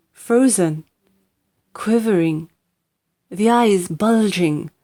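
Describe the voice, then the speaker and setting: A woman reads a children's story as an audiobook narrator. Her pace is very unhurried and her pitch sits in the middle, with deliberate, dramatic phrasing. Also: a little mellow. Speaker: audiobook reader. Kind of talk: expressive scripted storybook narration.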